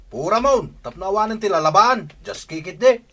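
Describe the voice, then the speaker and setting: Radio comedy role: actor as grumbling husband, fairly high male voice, big pitch swings, argumentative. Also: lively intonation, moderate pace, fairly bright tone. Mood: heated. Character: put-upon, grumbling, defensive village husband. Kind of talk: comedy-drama radio acting.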